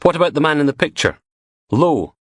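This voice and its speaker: A man's voice: fairly high pitch, sing-song, fairly quick, no echo, studio quality, voice pushed up. A man voicing a weary male detective who asks short, clipped questions. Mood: terse.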